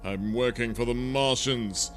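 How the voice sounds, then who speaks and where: A man's voice: mid-range voice, a little slow, a bit bright, soft background music, close to an everyday voice. A man voicing a smug, haughty traitor in an audio space drama, formal and supercilious.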